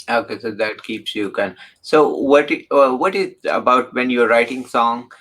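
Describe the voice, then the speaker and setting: A man hosting an interview, talking in a halting way with many restarts and fillers. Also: mid-range voice, a bit bright. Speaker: interview host. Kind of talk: casual interview talk.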